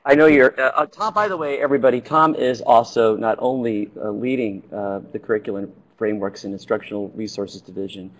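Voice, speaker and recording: A man's voice: mid-range voice, fairly quick, few pauses, poor audio. A man hosting an online education webinar, introducing the guest in a rambling way with many uhs and stumbles. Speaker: webinar host.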